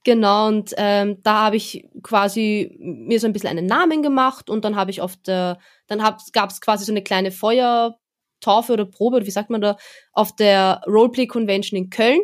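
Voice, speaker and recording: A young woman speaking in a relaxed, chatty way as a guest, with plenty of small fillers. Her pitch sits in an ordinary middle range for a woman. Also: normal speed. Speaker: guest, event presenter. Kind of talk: casual interview talk.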